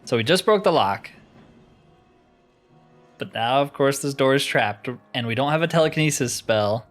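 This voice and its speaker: A man's voice: mid-range voice, expressive, normal speed, fairly bright tone, soft background music. A man giving casual let's-play commentary, musing aloud with wry asides as he plays. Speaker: gamer narrating his playthrough.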